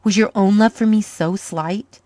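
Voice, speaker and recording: A woman's voice: on the low side, steady tone, moderate pace, mellow, no room sound, lightly acted. A woman voicing a grown man, a doctor who is grave and stern but tender, speaking earnestly.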